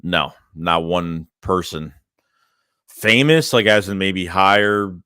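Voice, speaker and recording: Bright-voiced casual sports fan host. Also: male voice, low voice, slow pace, clean tone, no echo, studio quality. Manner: loose, rambling, lots of "man" and "dude". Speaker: fan channel host reading live chat. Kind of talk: casual livestream chat.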